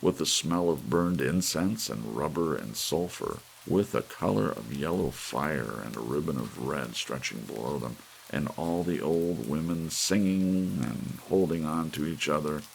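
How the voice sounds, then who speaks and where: A man with a gravelly voice reading an audiobook story in a soft ASMR narration style. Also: very deep voice, poor audio. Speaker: audiobook reader. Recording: faint hiss under the voice. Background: soft rain.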